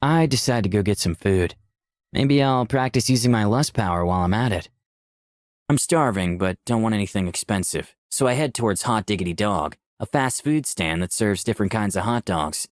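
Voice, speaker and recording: Man as an easygoing young hero, very animated. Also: lowish voice, normal speed, dry recording, close to an everyday voice. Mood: reflective. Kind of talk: scripted first-person narration and dialogue. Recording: dry, faint music behind.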